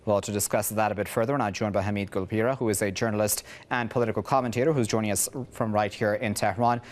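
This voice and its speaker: A man's voice: fairly low pitch, fairly even intonation, fast talker. A man presenting the news, reading reports and putting questions to a guest in a broadcast style.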